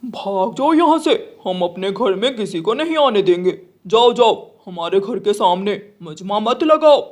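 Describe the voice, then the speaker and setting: A bullying cartoon crow voiced by a woman talks in a lowered voice. He is selfish, scornful and commanding, with no sympathy for the other birds. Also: animated, unhurried, a little mellow, natural voice. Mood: angry. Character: gruff, angry male crow, selfish bully. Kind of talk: children's cartoon story acting.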